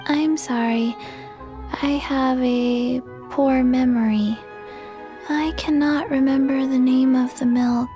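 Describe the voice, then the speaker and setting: Very slow, deadpan little zombie girl. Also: high-pitched. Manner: deadpan, halting, short simple sentences. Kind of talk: video game voice acting. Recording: music under the voice.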